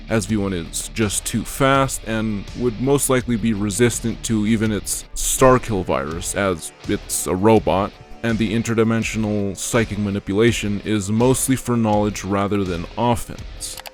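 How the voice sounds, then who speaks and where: Low-pitched man narrating over music. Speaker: video narrator and host. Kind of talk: scripted narration, video-game versus analysis. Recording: music behind the voice.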